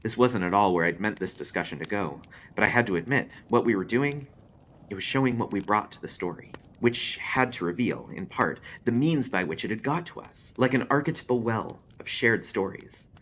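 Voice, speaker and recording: Male librarian-narrator for horror story: lowish pitch, very varied intonation, expressive read, clear background noise. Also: moderate pace. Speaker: librarian reading aloud.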